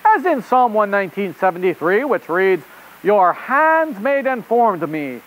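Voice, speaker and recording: Performer acting excitable rabbit puppet reporter, fake French accent, slow delivery, swooping pitch, theatrical. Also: poor audio. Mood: earnest. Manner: theatrical, exclamatory. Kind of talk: children's puppet show presenting.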